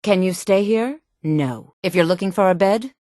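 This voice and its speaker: A woman voicing a sultry, sharp-tongued, disdainful townswoman and courtier in a fantasy video game. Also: mid-range voice, moderate pace, no room sound, clean studio sound, natural voice. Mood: dismissive, sardonic. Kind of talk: video game character dialogue.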